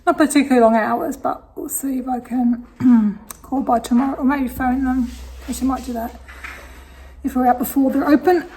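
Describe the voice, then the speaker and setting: Chatty middle-aged Australian woman, rather high. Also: steady tone, moderate pace, slight background noise, low-quality recording. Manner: chatty, rambling, thinking aloud with soft laughs. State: slightly croaky voice. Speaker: vlogger. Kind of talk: casual day-in-the-life vlog narration. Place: home kitchen.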